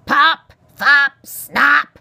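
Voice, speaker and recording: A woman's voice: mid-range voice, very animated, measured pace, gravelly, bright, forceful tone, slightly rough, dry recording, voice pushed up, exaggerated voice. A woman voicing a grumpy old male porcupine in a storybook, barking scornful insults in a growling bluster.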